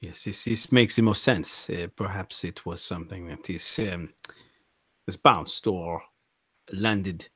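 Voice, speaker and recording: A man with a fairly low voice narrates his character's moves as a player in a role-playing game. His phrasing is measured and deliberate, and the sound is close and dry, with no room echo. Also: fairly bright tone, slightly rough. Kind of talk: improvised first-person roleplay narration.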